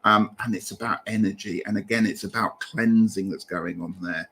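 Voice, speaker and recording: A man with a British accent giving gentle, reassuring tarot readings over a video call. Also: fairly low pitch, steady tone, moderate pace, gravelly. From Britain. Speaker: guest tarot reader. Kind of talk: casual livestream tarot reading.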